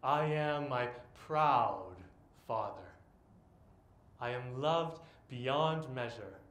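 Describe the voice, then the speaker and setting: A man in his twenties performing an autobiographical spoken-word monologue on stage, with dramatic, deliberate phrasing and long pauses. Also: mid-range voice, lively intonation, fairly bright tone. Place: live on stage in a theatre. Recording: echoey theatre, live stage recording, background noise.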